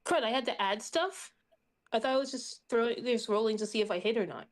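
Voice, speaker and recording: Bright-spirited casual woman, slightly high voice. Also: a little fast. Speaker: player in a tabletop game. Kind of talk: casual tabletop game chat.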